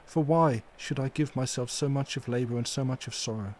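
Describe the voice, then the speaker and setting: A man voicing an elderly, stern but tender foreign professor who speaks in solemn, formal, halting phrases. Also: fairly high pitch, lively intonation, voice pushed up, natural voice.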